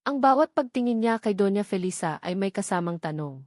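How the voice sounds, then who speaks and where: A woman narrating a scripted audio drama in a steady, measured storytelling voice. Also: medium pitch, dry recording, clean studio sound.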